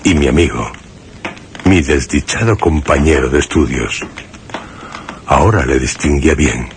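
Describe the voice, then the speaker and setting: Very deep, slow, wary narrator. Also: male voice, slight background noise. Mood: grave, wary. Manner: measured, solemn, halting phrases. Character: wary man narrating his dreadful encounter with a mad friend. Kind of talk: scripted suspense radio drama narration.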